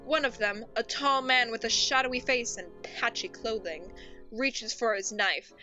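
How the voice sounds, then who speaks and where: A woman's voice: fairly high pitch, unhurried, bright, forceful tone, dull audio, soft background music. A woman playing a melodramatic, easily exasperated narrator in a radio play, grandiose and theatrical. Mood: ominous. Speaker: narrator and host.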